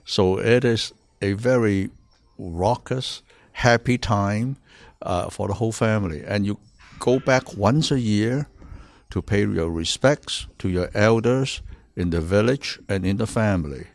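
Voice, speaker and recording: A man explaining a holiday's meaning in a slow, measured way in an interview clip. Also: lowish voice, vocal fry, no room sound.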